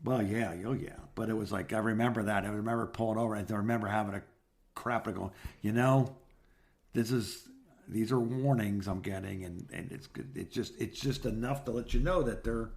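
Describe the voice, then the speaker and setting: A man in his sixties from South Florida with an American accent, co-hosting a podcast and rambling through personal anecdotes in a halting, circling way. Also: fairly low pitch, creaky, a little mellow. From South Florida, United States. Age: 60s. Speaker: podcast co-host. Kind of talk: casual phone chat, rambling personal anecdotes.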